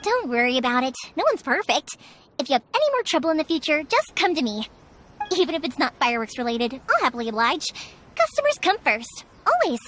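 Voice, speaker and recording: Eager young game heroine; very high, animated, chatty, friendly and earnest. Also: female voice, fairly quick, slight background noise. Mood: reassuring. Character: cheerful, eager young woman fireworks maker. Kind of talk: animated video game voice acting.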